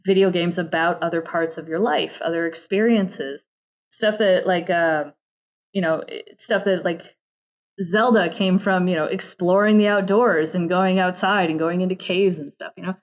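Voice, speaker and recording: A woman's voice: fairly low pitch, fairly even intonation, normal speed, dull audio. A woman talking casually as an interview guest about her creative work, often slipping into quoted asides. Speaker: interview guest, game narrative director. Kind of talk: casual chat.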